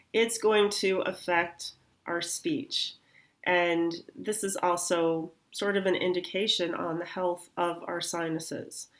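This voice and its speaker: A middle-aged woman, a chiropractor, explaining sinus anatomy in an unhurried health lesson, her voice a little nasal from congestion. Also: on the low side. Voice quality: slightly nasal. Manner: slow, unhurried explaining with fillers.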